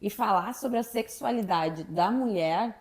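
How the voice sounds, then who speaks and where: A woman's voice: mid-range voice, measured pace, a little mellow. A woman hosting an interview, asking questions in a conversational way. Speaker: host. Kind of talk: casual interview chat.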